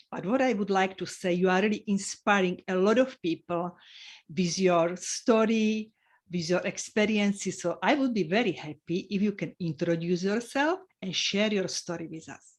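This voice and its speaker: A woman hosting a podcast who greets and introduces her guest in a welcoming way and uses simple phrasing. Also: mid-range voice. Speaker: podcast host.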